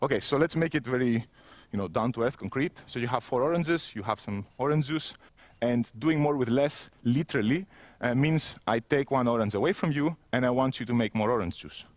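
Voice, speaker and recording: A man's voice: mid-range voice, fairly quick, no echo. A man in his thirties with a Greek accent, giving a self-mocking conference keynote while standing in an empty indoor room on a headset mic. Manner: self-mocking, conversational, with frequent restarts. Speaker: keynote speaker.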